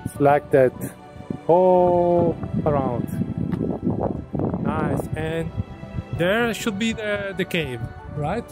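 A man's voice: fairly high pitch, drawn-out words, pauses often. A man, filming himself on a camera stick while hiking a rocky seaside coast, talking with many hesitant fillers. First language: Italian. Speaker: vlogger. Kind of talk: casual travel vlog. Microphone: action camera on a selfie stick. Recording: background music.

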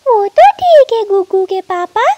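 Caring cartoon mama bird, woman performer, extremely high and animated, anxious but polite. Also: measured pace, exaggerated voice. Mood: wary. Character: worried, caring mother bird.

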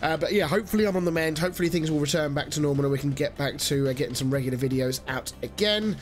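A man's voice: fairly high pitch, rapid-fire, soft background music. Chatty man with a nasal cold. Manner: chatty, rambling, many fillers. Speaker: gaming channel host. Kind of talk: casual let's-play commentary.

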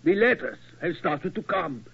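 A man playing an aging, deferential German tower keeper with a German accent, halting and pleading, in 1940s radio acting. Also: high-pitched, lively intonation, gravelly, fairly bright tone, slightly rough, heavily acted. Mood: troubled. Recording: dull, lo-fi old radio recording.

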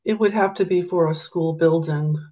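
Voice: medium pitch, normal speed, mellow. A department staff member giving matter-of-fact answers on a video call.

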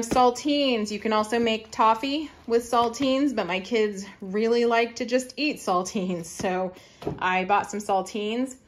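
A middle-aged woman chatting casually through a grocery haul, explaining her family's meal plans in a homey way. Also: medium pitch. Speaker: family lifestyle vlogger.

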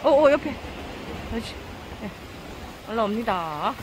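Woman host, drawn-out excited commentary, slightly high, wind and surf noise. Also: lo-fi sound. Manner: exclaims often, laughs a lot. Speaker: fishing channel host filming. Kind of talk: excited live commentary while filming. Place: outdoors on a rocky seawall by the sea. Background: wind and waves.

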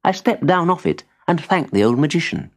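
A man reading a children's story as an animated narrator. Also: a little high, fairly quick, noisy background. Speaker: storybook reader.

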